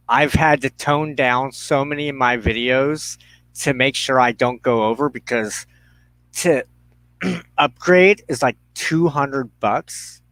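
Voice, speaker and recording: Guy chatting on his livestream, unhurried, slangy and rambly, headset mic, no echo. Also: medium pitch, fairly bright tone. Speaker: livestream host of a spiritual ministry show. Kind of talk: casual live chat to viewers. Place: inside a trailer.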